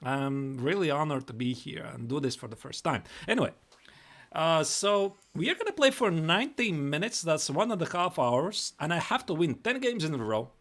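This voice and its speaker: A man's voice: on the high side, lively intonation, normal speed, fairly bright tone. A man with a Latvian accent chatting casually on a live chess stream, repeating and restarting his words.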